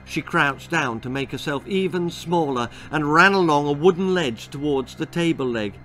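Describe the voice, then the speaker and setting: A man's voice: on the high side, normal speed, fairly bright tone, soft background music. A man reading a children's horror-comedy story in a theatrical, precise storytelling style. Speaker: author reading his own story.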